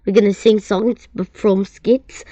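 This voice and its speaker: A cheerful, excitable teacher gushes with bubbly delight in this storybook read-aloud, voiced by a woman. The recording is dry and somewhat dull, like a lo-fi home setup. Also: mid-range voice, a little slow, no room sound, close to an everyday voice. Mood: excited.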